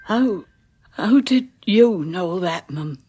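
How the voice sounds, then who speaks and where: An actress playing a secretive, uneasy village woman in a radio horror drama, halting and insistent. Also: fairly low pitch, lively intonation, warm tone, a little hiss or hum. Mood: probing, shaken.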